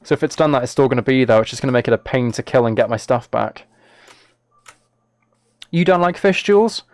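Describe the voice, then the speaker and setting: A man's voice: medium pitch, animated, brisk, dry recording. A British man chatting casually on a game livestream, talking to viewers in a mock-exasperated, self-deprecating way. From United Kingdom. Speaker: live streamer.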